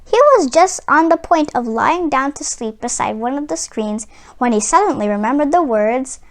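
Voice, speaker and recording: A woman reading a children's story aloud as a host, in an expressive, dramatic way, drawing out words for emphasis. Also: high voice, moderate pace.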